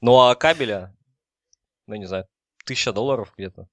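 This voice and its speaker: Low-voiced man explaining in casual tech talk. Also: normal speed, fairly bright tone, no room sound. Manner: explanatory, conversational. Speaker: podcast panelist explaining technology. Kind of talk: casual technical discussion.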